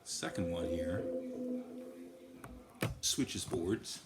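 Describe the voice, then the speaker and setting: Low-voiced man in an echoey room. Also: animated, extremely slow. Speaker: guitarist demonstrating effects gear. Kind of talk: casual gear demo, explaining as they go. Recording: echoey room, iPad recording. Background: processed guitar effects sounds.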